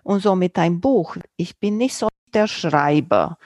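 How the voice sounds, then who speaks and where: Romanian-accented woman, lowish voice. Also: animated, measured pace, a little mellow, no room sound, studio quality. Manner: loose, searching sentences with non-native grammar. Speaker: podcast host, guest on another podcast. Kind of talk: casual interview chat.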